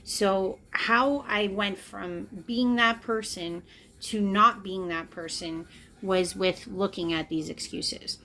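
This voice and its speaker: Woman, late thirties, earnest solo vlogger, mid-pitched voice, faint room hiss behind. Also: fairly even intonation, slow, a bit bright. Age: late 30s. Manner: earnest, confessional, emphatic repetition. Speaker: spiritual vlogger. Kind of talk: personal reflective monologue to camera. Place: indoors, in front of a camera.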